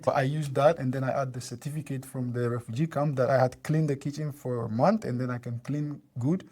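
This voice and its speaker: A man in his thirties, from Ghana, talks as a guest on a casual podcast. He tells his story with energy and acts out past conversations. His voice sits at a middle pitch. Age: 30s. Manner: animated storyteller, acts out dialogue with laughs.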